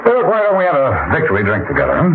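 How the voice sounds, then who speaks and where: An actor playing a genial, easygoing outgoing mayor and husband in a folksy old-time radio drama. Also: male voice, fairly high pitch, huge pitch swings, rapid-fire, heavy background noise. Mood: jovial. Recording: dull old radio recording with music.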